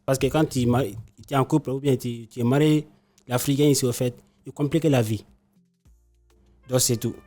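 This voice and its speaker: A man's voice: medium pitch, steady tone, moderate pace, warm tone, soft background music. A young man from Benin, a vocational student, speaking conversationally and repeating himself in a classroom debate. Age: young adult. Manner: conversational, repetitive.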